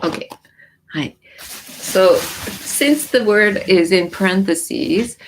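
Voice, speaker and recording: A woman's voice: mid-range voice, animated, slow pace, slight background noise, rough recording. A woman hosting a casual livestream, raised in the US with Japanese as her mother tongue, reading viewer comments and explaining lyrics with frequent ums and restarts. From born in Japan, raised in the US. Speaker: livestream host.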